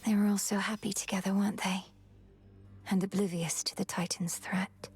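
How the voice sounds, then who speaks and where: A woman's voice: mid-range voice, flat delivery, darkish tone, natural voice. Woman playing a solemn young witch princess, music behind. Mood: wistful. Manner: earnest, formal, measured. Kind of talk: video game character dialogue.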